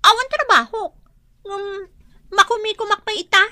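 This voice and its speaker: Actress as a shrill, bright, flippant young daughter. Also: female voice, normal speed, dry recording, full character voice. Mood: scoffing. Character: flippant jobless young daughter who talks back. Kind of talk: Filipino radio drama acting in Ilocano.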